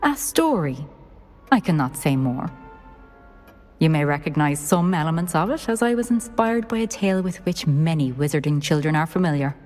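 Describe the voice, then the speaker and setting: A woman's voice: deep voice, very animated, normal speed, a little mellow, soft background music. An actress playing a composed, enigmatic female wizard mentor in a video game, speaking solemnly and instructively. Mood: composed, cryptic.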